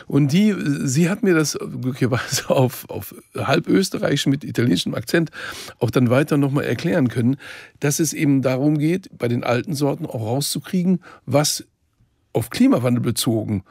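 A man's voice: medium pitch, lively intonation, moderate pace, creaky, darkish tone, slightly rough, no echo, clean studio sound. A man co-hosting a radio programme, talking about food in an enthusiastic, effusive, conversational way. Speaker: radio co-host and food reporter.

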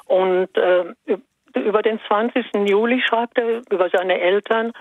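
A woman in her eighties from Italy, whose first language is Italian, calls in to a radio show and speaks hesitantly with many pauses and fillers. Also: medium pitch, steady tone, no echo. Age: 80s. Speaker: caller. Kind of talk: radio call-in. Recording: dry phone line.

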